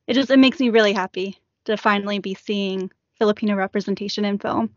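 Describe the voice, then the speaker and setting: A woman's voice: mid-range voice, steady tone, fast talker, no room sound, muffled recording. A woman co-hosting a casual panel chat, using "like" often. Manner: says like often. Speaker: recurring co-host.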